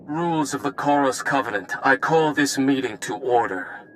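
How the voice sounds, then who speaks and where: Man acting pompous chairman, middle pitch, formal, officious, faint noise, game cutscene. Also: moderate pace, a bit bright, natural voice. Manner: stiff, officious. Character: formal, pompous male politician chairing a secret conspiracy.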